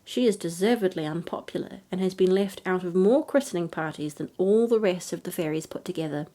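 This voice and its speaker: Mellow woman reading a bedtime story. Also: on the low side, fairly quick. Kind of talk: gentle scripted narration of a fairy tale.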